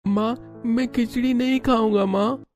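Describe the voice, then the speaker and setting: A woman's voice: a little high, fairly even intonation, measured pace, a little mellow, faint music behind, close to an everyday voice. A woman voicing a whiny little village boy in a cartoon story.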